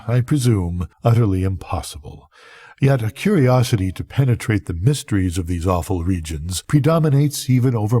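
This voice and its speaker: An older man, a grandfather, reading a literary tale aloud as a bedtime story with measured, expressive, dramatic delivery and a husky voice. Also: on the low side. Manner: measured, expressive, theatrical at climaxes.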